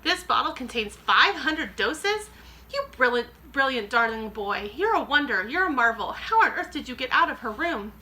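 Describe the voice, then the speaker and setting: A woman reading aloud who voices a calm, loving elderly grandmother with a gentle, cool manner. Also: high voice, normal speed, heavily acted. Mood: affectionate.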